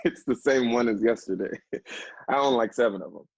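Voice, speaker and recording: An actor playing an earnest, kindly, gently hesitant man working as a literary assistant in a stage play. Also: mid-range voice, normal speed, vocal fry, slightly rough, no echo. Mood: gentle, awkward.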